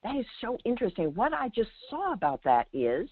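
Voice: medium pitch, wildly swooping pitch, a little fast, breathy edge. A teacher guiding a caller in conversation, speaking emphatically, repeating phrases and checking in with short questions, over a phone line. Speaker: host, meditation teacher answering a caller. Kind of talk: spiritual guidance in a call-in conversation. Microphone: phone call. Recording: narrowband phone line sound.

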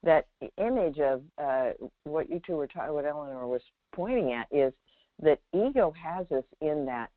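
Woman, animated pitch swings, conversational teaching, emphatic explaining, narrow dry phone sound. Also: normal speed, dry recording. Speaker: teacher and guide on the call. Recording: tinny, dry phone line.

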